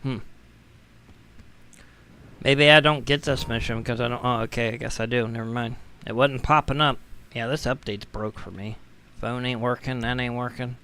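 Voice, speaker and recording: A man with a hoarse voice that is giving out, casually commenting on a game on a live stream with wry, grumbling asides. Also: mid-range voice, steady tone, moderate pace, bright, forceful tone. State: hoarse, losing his voice. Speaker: gaming streamer.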